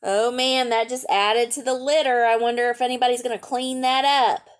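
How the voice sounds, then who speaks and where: A woman's voice: on the high side, fairly even intonation, normal speed, clean tone. A woman reading a picture book aloud to young children, adding chatty asides, simple explanations and questions for the listeners. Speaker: storytime reader and teacher.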